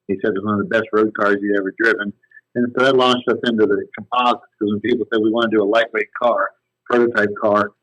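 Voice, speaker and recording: A man telling his company's history as a phone-in podcast guest, in a relaxed, rambling way. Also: lowish voice, dry recording, rough recording. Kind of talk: casual storytelling interview.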